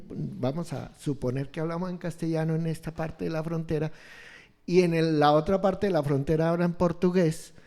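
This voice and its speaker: A man explaining ideas on education in an interview, halting and restarting often as he searches for words. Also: on the high side, mellow. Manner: slow, halting, many restarts and fillers. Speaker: education expert guest.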